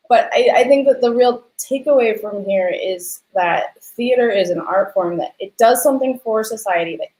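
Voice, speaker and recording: A woman's voice: mid-range voice, darkish tone, roomy sound, rough recording. A woman hosting a conversational interview about her field, speaking in an earnest, explanatory way. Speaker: host and theatre lighting designer.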